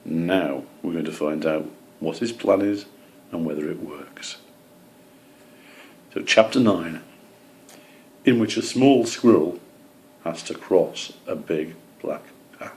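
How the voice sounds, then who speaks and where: Male storybook reader, lowish voice with plenty of fry, narrating for children. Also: slow, long pauses, darkish tone.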